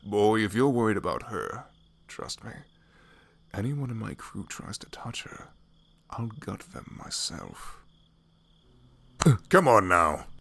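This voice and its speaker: A man voicing an older, gruff but jovial leader of travelers, teasing and self-assured, in a fantasy audio roleplay. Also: on the low side, sing-song, slow, pauses often, a bit bright, a little hiss or hum, exaggerated voice. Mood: firm, cautioning.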